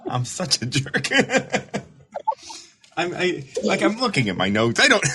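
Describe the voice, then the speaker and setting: Male recovery mentor, casual and supportive, animated pitch, stammers and restarts. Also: very slow, slight background noise. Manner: laid-back, stammering restarts, laughs easily.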